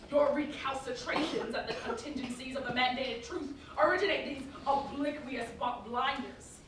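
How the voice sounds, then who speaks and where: A woman's voice: sits high, a bit bright. A woman playing a bombastic imperial official on stage, haranguing in pompous, orotund, garbled officialese. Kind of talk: theatrical satirical staged reading. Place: echoey performance hall, distant mic. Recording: echoey hall from far off, faint noise.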